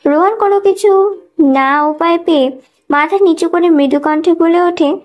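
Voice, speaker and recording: A woman's voice: very high-pitched, moderate pace, muffled recording. A woman reading scripted narration of a dramatic thriller story as a storyteller.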